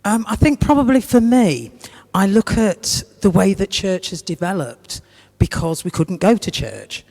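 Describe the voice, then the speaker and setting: A woman's voice: medium pitch, animated, measured pace, a little mellow. A woman who is a church warden, answering on a panel in an earnest, plain-spoken way. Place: large parish church. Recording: long reverb of a big church.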